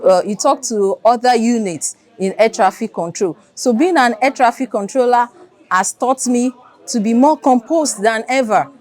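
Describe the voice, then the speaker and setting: A woman speaks in an interview about her work as an air traffic controller. Her voice is somewhat high in pitch. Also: darkish tone. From Nigeria. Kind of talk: interview answer.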